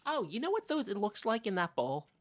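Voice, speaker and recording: A man's voice: high-pitched, wildly swooping pitch, a little fast. A man playing an earnest, easily rattled oddball co-host on a comedy podcast, rambling and stammering as he talks. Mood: sincere, halting. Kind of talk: improvised comedy chat in character.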